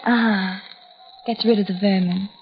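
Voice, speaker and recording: A woman's voice: mid-range voice, steady tone, close to an everyday voice. An actress playing a cruel woman who taunts with false sweetness, in old-time radio drama style. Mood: sly menace. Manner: mock-solicitous, taunting, sardonic, drawn-out endearments. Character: cruel, mockingly sweet woman tormenting her blinded rival. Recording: dull, very noisy old radio recording.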